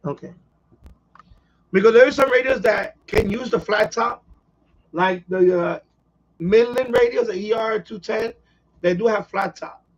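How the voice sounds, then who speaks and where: High-pitched, raspy man chatting casually. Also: unhurried, long pauses, muffled recording. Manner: rambling, repeats himself. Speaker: radio hobbyist live stream host. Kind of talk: casual live stream chat.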